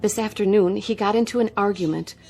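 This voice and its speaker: A woman's voice: medium pitch, fairly quick, creaky, mellow, lightly acted. A woman acting in a radio drama as an uneasy, worried wife of a military officer. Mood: troubled. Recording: music bed underneath.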